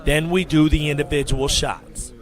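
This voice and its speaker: A man playing a fussy, bossy photographer in an audio drama, giving halting instructions. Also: a little high, steady tone, close to an everyday voice. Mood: fussy, directing. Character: fussy, bossy male photographer directing a group.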